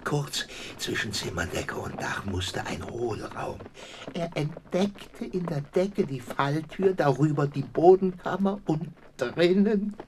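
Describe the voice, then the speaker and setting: Radio play role: whiny, excitable eccentric gentleman, theatrical, slow and savouring, with big pitch swings. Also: a little hiss or hum. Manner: theatrical, dwelling on words, repeating himself. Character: eccentric, excitable, whiny gentleman torn between awe and resentment. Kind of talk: German radio drama acting.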